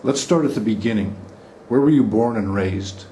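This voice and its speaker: Man, interviewer, low voice, mellow tone, short questions. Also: normal speed, a little hiss or hum.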